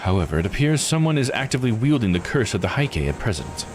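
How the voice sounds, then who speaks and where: A man voicing a formal, scholarly investigator with measured, old-fashioned phrasing in a visual novel read-aloud. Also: medium pitch, big pitch swings, soft background music, lightly acted.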